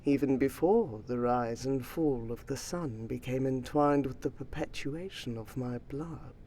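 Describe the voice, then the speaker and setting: A woman voicing a gracious, ancient vampire lady in a fantasy roleplay audio, speaking formally and soothingly to the listener with a wistful, breathy voice. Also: extremely low pitch, mellow, slight background noise, heavily acted. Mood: wistful, serene. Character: gracious ancient vampire lady, noble keeper of a magical sanctum.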